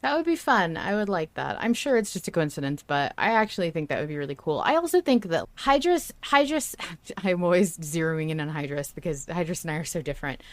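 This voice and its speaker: A woman's voice: on the low side, very animated, a little fast, dry recording. A woman hosting a podcast, talking casually and emphatically as a fan with strong opinions. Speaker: podcast host discussing an audio drama.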